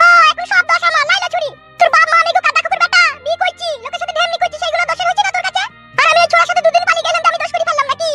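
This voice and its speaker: A performer voicing an aggrieved, sharp-tongued village mother in a comic cartoon, shrill and complaining, with a rural West Bengal accent. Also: level tone, rapid-fire, few pauses, soft background music, full character voice. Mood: indignant.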